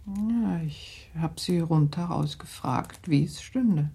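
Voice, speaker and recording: A shrewd aristocratic mother in a radio drama, her dark, gravelly voice slow and measured. She speaks in confiding tones and sometimes drops to a hushed whisper. The voice is deeply husky throughout. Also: exaggerated voice. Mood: worried. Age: middle-aged. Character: worried aristocratic mother, middle-aged, shrewd. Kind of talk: 1960s German radio drama acting.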